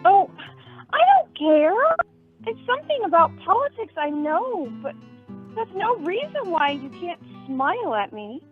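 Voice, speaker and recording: A woman plays a young fiancée in a radio drama, earnest and plaintive. Her voice is high and moves in big swoops. The recording is lo-fi, with music behind it. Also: very animated, a little slow, heavily acted. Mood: coaxing. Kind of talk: radio play acting. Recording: muffled lo-fi audio with music behind.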